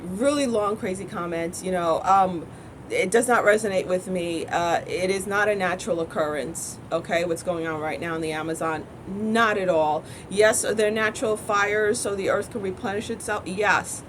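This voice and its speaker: A woman's voice: mid-range voice, moderate pace, slight background noise, rough recording. Earnest rambling woman in a car. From United States. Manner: rambling, self-correcting, earnest conviction. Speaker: spiritual teacher. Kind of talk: spiritual vlog monologue.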